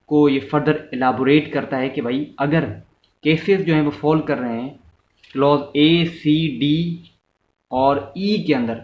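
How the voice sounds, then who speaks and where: A man with a Pakistani accent gives an informal explanatory law lesson, repeating and rephrasing his points and slipping into English legal terms. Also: on the high side. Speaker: law lecturer. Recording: echoey room, muffled, faint noise.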